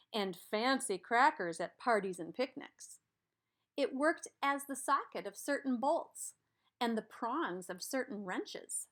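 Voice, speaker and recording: Slow, animated woman reading a storybook. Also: fairly high pitch. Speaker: storybook reader. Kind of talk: expressive children's read-aloud narration.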